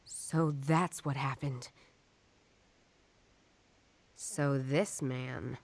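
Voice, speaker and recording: An actress playing a tough, defiant ex-police detective in a noir video game, blunt and challenging. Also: female voice, extremely low pitch, steady tone, very slow, darkish tone, slight background noise, exaggerated voice. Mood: puzzled, frustrated. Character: tough, defiant female ex-police detective on her own case.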